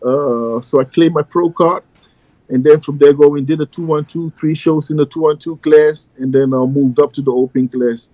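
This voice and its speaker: Male interview guest, halting delivery; slightly high voice, dry dull audio. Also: fairly even intonation, warm tone, dry recording. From Ghana, raised in the Netherlands. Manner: halting, many "you know" fillers. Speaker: guest, pro bodybuilder. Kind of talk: casual interview chat.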